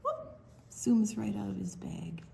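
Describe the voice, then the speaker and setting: A woman reading a picture book aloud to young children, animated, pausing to explain things simply and ask questions. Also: mid-range voice, gravelly. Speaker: children's story time reader.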